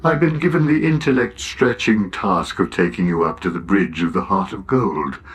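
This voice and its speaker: A man's voice: normal speed, slight background noise, lightly acted. A man playing an ancient, worn-out robot in a radio comedy drama, weary, doleful and sardonic, full of gloom and self-pity. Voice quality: low, weary drone.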